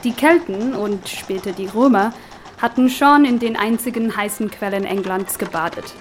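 A woman's voice: medium pitch, moderate pace, slight background noise. Woman giving dryly witty scripted commentary. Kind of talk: scripted explanatory commentary with dry wit.

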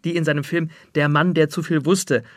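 A man's voice: a little high, fairly even intonation, moderate pace, no room sound, studio quality. A man working as an interviewer, offering comments and prepared film trivia in a conversational interview.

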